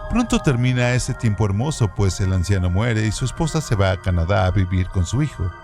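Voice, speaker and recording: A man with a deep, gravelly voice reads a scripted literature feature in a measured, steady way. A clear music bed runs under his voice. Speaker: radio presenter. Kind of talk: scripted literary trivia narration. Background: background music.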